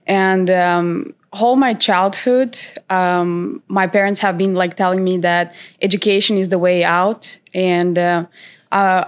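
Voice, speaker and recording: A young woman from North Macedonia, a guest speaking in a casual interview, unhurried and thoughtful with many fillers and pauses. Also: lowish voice. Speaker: guest, content creator.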